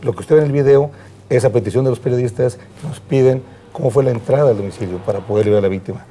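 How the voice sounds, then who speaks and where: An actor playing an evasive police director who answers formally and hesitantly in a television interview. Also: male voice, mid-range voice, fast talker, warm tone, faint music behind, natural voice. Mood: evasive, defensive.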